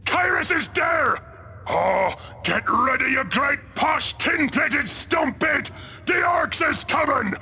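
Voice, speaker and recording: A man playing a brash, belligerent ork warboss in a video game cutscene, with a gravelly, harsh Cockney voice and crude bellowing grammar. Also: very high-pitched, lively intonation, slow pace, sharp tone, raised voice, slight background noise, heavily acted. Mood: rallying, fierce. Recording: game music under the voice.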